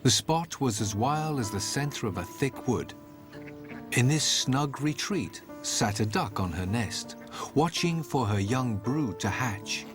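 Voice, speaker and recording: A man's voice: medium pitch, animated, unhurried, music in the background. A man telling a fairy tale as a scripted, theatrical storybook narration.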